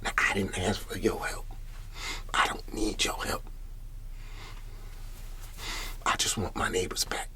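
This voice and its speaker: A man playing a shaken, humble country farmer in an ASMR roleplay, speaking in a halting, breathy whisper and repeating his words. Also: low-pitched, steady tone, bright, forceful tone. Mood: defiant, weary.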